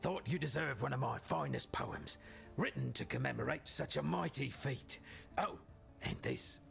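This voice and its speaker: A man voicing a wry, self-satisfied camp poet in a video game, gravelly and grandiose, with faint music behind. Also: mid-range voice, animated, moderate pace, fairly bright tone. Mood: wry, pleased.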